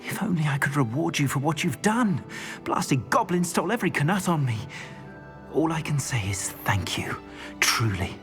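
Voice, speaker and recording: An actor playing an anxious, earnest older wizard, a metal trader in a video game. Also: male voice, fairly high pitch, normal speed. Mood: relieved, grateful. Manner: earnest, expressive, rueful. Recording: game music behind the voice.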